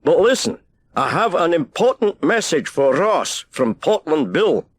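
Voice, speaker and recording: A man voicing an excitable, giggly, self-important lighthouse keeper in a children's storybook, with flustered, exclamatory delivery. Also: on the high side, measured pace, breathy edge, voice pushed up, full character voice. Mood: urgent. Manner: exclamatory, flustered, over-eager. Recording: hissy old cassette transfer.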